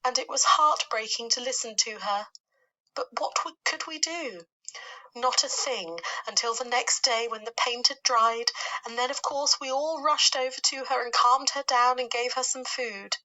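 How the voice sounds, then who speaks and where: A storybook lady spider, acted out by a woman reader, speaking well above a normal pitch in a bright voice. She is doleful and theatrical, gushing through her woes and correcting herself. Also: normal speed, poor audio. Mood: dramatic lament. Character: female spider, dramatic, doleful, prone to lamenting.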